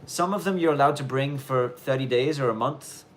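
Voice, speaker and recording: Aussie-london accent guy doing a live q&a, rambly, keeps restarting sentences, tries to talk clear, mid voice, bit of quiet noise behind. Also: mid-range voice. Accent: mixed Australian and London, with some Irish twang. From Australia. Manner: rambling, self-correcting, deliberately clear delivery. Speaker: livestream host answering viewer questions. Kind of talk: casual live Q&A chat.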